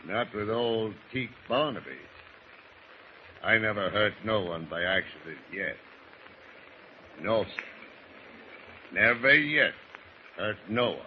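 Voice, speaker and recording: A crusty old sailor in a vintage radio serial. His salty dialect swings widely in pitch, jovial on top and slyly menacing underneath. The recording is dull and clearly noisy. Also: expressive, slow, long pauses. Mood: boastful menace. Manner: folksy, salty seafaring dialect. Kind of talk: 1940s radio acting.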